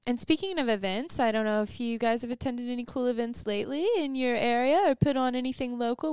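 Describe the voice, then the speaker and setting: A woman's voice: fairly high pitch, darkish tone, smooth, dry recording. A woman from the American South with a Southern accent, co-hosting a community radio show in an upbeat, friendly chat. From Southern United States. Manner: upbeat, friendly, says "like" a lot. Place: radio studio.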